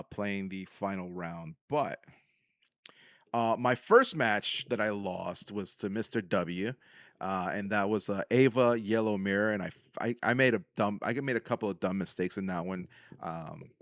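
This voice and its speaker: A man's voice: on the low side, dry recording, studio quality. A man hosting a podcast, casually recapping his hobby in a solo talk. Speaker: podcast host. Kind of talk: casual solo recap of card game decks and matches.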